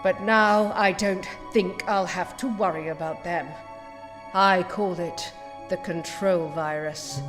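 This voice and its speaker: A woman playing a cold, condescending mad scientist villain in an audio drama, with a haughty, measured manner and false sweetness, in a reverberant space. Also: fairly low pitch, steady tone, over music. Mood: triumphant, scheming. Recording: long reverberant hall echo.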